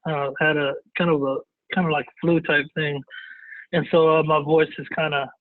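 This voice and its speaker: Raspy man sick with a cold. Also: on the high side, flat delivery, dry recording. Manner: halting, many fillers and restarts. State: hoarse from a cold. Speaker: webinar presenter. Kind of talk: casual presentation. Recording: dry, tinny phone-like line.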